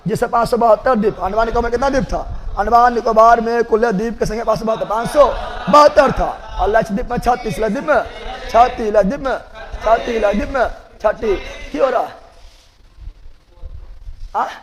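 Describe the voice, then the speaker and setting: A man with a Bihari accent teaches in a very high-pitched voice. He drills facts emphatically, repeating them and putting questions to his class. The sound carries long reverb, as in an echoey hall. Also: quick pace. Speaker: exam coaching teacher. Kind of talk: live general-knowledge classroom drilling with repetition and question-and-answer.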